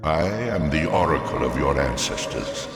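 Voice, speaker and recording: A man's voice: rumbling low, lively intonation. A man voicing an ominous, manipulative ancient oracle in a fantasy game, with portentous, theatrical delivery. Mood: knowing, enticing. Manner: portentous, theatrical, slow solemn prophecy. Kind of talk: scripted fantasy game acting. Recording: cavernous long reverb, music behind.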